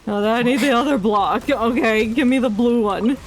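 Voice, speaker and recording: A woman's voice: on the high side, moderate pace, poor audio. A woman giving casual live commentary on a game, thinking aloud as the game music plays behind. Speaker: game streamer.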